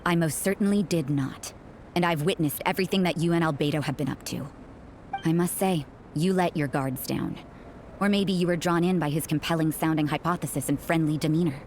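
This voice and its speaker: A woman playing a stern, cynical young nun in a video game, guarded and suspicious, with a cool, sardonic, deliberate delivery. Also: low voice, darkish tone, a little hiss or hum, natural voice. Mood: accusing, cold. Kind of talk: English video game character dialogue.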